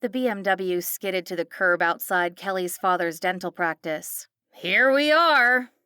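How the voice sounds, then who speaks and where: Female narrator for horror fiction. Crisp-edged, bright, mid-range delivery, dramatic, dry recording. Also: fairly low pitch, level tone, normal speed, dry recording. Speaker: audiobook narrator.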